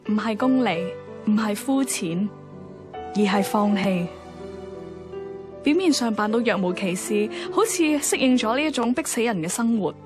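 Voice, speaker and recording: Higher-pitched earnest young Hong Kong woman, played by an actress. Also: lightly acted. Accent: Hong Kong Cantonese. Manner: reflective, sincere. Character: earnest young Hong Kong woman reading her heartfelt farewell letter. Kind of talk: radio drama letter narration. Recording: music behind the voice.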